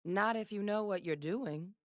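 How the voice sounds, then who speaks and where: A woman's voice: medium pitch, unhurried, no echo, natural voice. A woman voices a battle-worn young gunslinger heroine from a video game. She is dry, clipped and commanding, with tenderness under the defiance. Her voice sits in a middle register with a tight, dry studio sound. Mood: wry teasing. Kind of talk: video game character voice lines.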